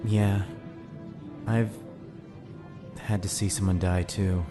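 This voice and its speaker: Guy playing a kind grieving man in an anime fan drama, gentle n reassuring, talks slow, music behind. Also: sits low, flat delivery. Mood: sorrowful, hesitant. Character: kindly, consoling man with private grief. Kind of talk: fan dub anime parody acting. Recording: music under the voice.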